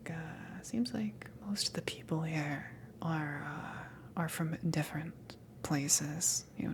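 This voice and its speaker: A low, slightly creaky whisper belongs to this friendly alien salvage crew member, acted by a woman. The delivery is unhurried and hesitant, an easygoing, awkward ramble in an intimate ASMR roleplay style, soft and breathy throughout. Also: a little hiss or hum. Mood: casual, hesitant. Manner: hesitant, halting, casual rambling.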